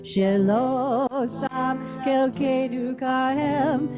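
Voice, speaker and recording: High-voiced woman cantor singing over loud music. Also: fairly even intonation, unhurried. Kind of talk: liturgical singing in Hebrew. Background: musical instrument accompaniment.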